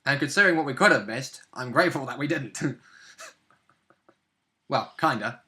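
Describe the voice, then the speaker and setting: Young brit guy talking over a tabletop game, bright edgy voice, casual, at home. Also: medium pitch, moderate pace. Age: young adult. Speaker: player discussing a tabletop game. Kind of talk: casual chat. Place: small room at home, indoors.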